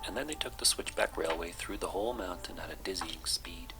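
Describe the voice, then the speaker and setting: A man reading a children's story aloud as soft-spoken bedtime narration, with music behind him. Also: low voice, brisk, gravelly. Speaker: storybook reader.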